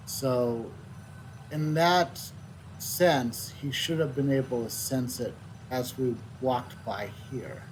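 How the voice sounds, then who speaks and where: Slow-paced man musing over a video game. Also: medium pitch, slight background noise, rough recording. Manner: musing, thinking out loud. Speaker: game streamer. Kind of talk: casual gameplay commentary. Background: game music.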